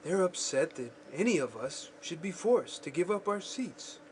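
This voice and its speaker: A man playing a calm, patient father in a school radio drama, speaking deliberately and explaining things. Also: on the high side, low-quality recording. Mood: patient, serious. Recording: faint background noise, home recording.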